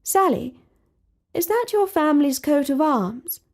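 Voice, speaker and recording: A woman's voice: high-pitched, expressive, a little slow, pitched above the performer's own voice. A woman voicing a polite young princess who seems to come from another age, speaking in a prim, formal way. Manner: prim, formal, old-fashioned.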